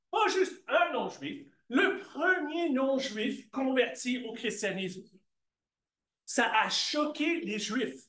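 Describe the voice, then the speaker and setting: Lively man teaching. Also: very high voice. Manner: lively and conversational, questions the class and answers himself; slips into English asides. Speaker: Bible teacher. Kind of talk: church Bible class, talking to students. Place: church classroom. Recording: echoey room.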